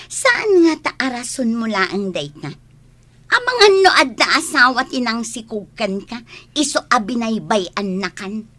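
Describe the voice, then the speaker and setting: A woman's voice: a little high, very animated, measured pace, pushed and bright, heavily acted. A woman playing a spiteful, nagging, mocking older sister in an Ilocano radio drama, with an Ilocano accent. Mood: disdainful. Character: spiteful, scolding older sister in a village household.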